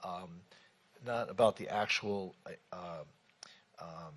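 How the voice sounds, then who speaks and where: A low, husky male voice that runs the meeting in a relaxed, informal way. He reassures speakers and tosses in friendly jokes. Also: takes it slowly. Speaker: meeting chair. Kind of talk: chairing a city commission meeting. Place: city commission chamber.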